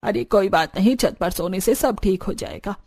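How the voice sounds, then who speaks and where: Woman doing bossy older village mother in law in a cartoon, lowered voice, strict, orders everyone around. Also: moderate pace, a little mellow, close to an everyday voice. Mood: dismissive. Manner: commanding, dismissive.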